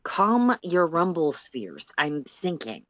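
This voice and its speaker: A woman voicing Solix, a blunt, thoughtful, stubbornly caring male character, speaking slowly and deliberately in a story reading. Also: sits low, wildly swooping pitch, a bit bright, no echo, full character voice. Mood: irritated, thoughtful. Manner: slow, deliberate delivery. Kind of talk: dramatic fan fiction reading. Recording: muffled voice-call audio.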